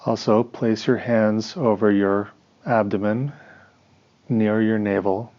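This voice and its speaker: A man with a fairly low voice teaches a guided meditation. He gives instructions in short, measured phrases, leaving pauses between them. The sound is somewhat muffled and lo-fi. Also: fairly even intonation, darkish tone.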